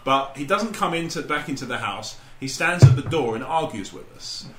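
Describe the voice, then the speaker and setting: A man's voice: on the high side, animated, moderate pace. A man chatting casually as a podcast host among friends, telling stories in a sweary, joking way. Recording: echoey room, faint background noise.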